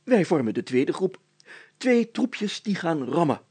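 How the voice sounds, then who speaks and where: A man's voice: on the high side, very animated, unhurried, a little mellow, breathy edge, heavily acted. A man voicing a pompous, bossy village mayor in a storybook, grandly declaiming like a self-styled hero. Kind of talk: storybook character voice.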